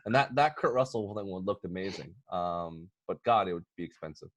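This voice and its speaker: A man hosting a casual podcast discussion, talking in an animated, teasing, bantering way. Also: sits low, fairly quick, dry recording. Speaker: podcast host.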